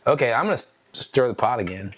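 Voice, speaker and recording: Low-voiced, lively woman rambling while cooking. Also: fast, background noise. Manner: rambling, self-deprecating, laughs often. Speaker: podcast co-host. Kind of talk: tipsy casual chat while cooking. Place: home kitchen.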